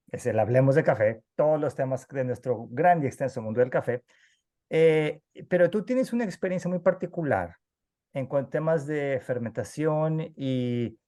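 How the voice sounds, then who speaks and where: A man's voice: on the high side, dark tone. Jovial man podcast co-host. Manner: jovial, chatty. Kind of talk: podcast interview.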